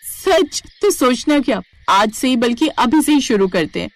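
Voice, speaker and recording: A woman's voice: a little high, animated, measured pace, no echo. A woman voicing a brave, caring and fiercely protective village wife and mother in a moral story, firm and feisty. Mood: reassuring, determined.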